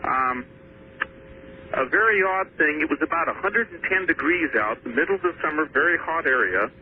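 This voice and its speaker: A fairly high-pitched man phones in to a talk show. He tells a personal account earnestly and haltingly. The line is tinny and narrow with slight noise. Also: expressive. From Washington state, US. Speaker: phone-in caller. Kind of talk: personal account told on air. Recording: thin, noisy phone line to radio.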